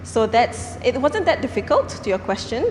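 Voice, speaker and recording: A woman's voice: a little high, sing-song, normal speed. A woman from Singapore speaking on a forum panel, earnest, with frequent fillers and restarts. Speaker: panel speaker, company founder. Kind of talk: panel discussion. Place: large conference hall. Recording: echoey hall, faint background noise.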